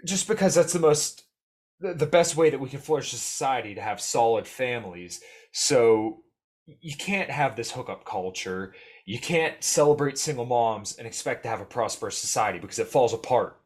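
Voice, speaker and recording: A man's voice: mid-range voice, lively intonation, normal speed. A young man in his twenties on a casual political podcast, earnest and opinionated, often restarting his sentences.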